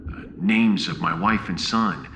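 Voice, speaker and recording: An actor playing an affable, battle-tested starship captain with a wry, informal, expressive manner in a science fiction audio drama. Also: male voice, sits low, a little slow, slight background noise. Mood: sorrowful.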